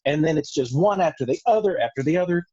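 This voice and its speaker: A man's voice: a little high, expressive, fast, dark tone, husky. A man talking casually in a group chat over muffled online call audio. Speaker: cast member of a puppet show. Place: video call.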